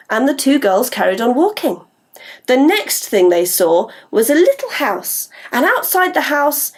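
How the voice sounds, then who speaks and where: A British woman telling a story to young children in an animated way and coaxing them to join in. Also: sits high.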